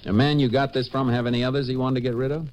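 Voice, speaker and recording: An actor playing a stern, relentless Texas Ranger in a 1950s radio drama, questioning tersely and without giving ground. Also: male voice, medium pitch, little pitch movement, racing, darkish tone, a little hiss or hum, lightly acted. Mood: probing, guarded. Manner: terse, unyielding, probing. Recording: old-time 1950s radio recording.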